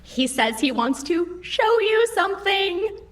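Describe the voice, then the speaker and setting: A woman's voice: very high-pitched, very animated, slow pace, exaggerated voice. A woman playing a cheeky, literal-minded computer AI in an audio drama, with formal, precise phrasing. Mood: wry.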